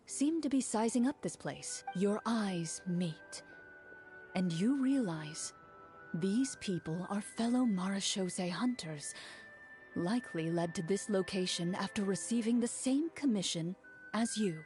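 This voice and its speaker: A woman voicing a cool, composed young woman who runs a game in a video game, speaking as a calm, measured storyteller. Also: medium pitch, natural voice. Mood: narrating. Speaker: game master. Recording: game audio with faint music behind.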